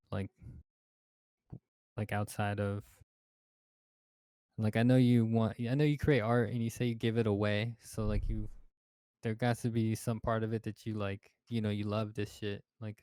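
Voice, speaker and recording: A man's voice: lowish voice, mellow, clear, resonant tone, dry recording. A man in his twenties hosting a casual podcast chat, rambling with lots of fillers and swearing. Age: 20s. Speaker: podcast host.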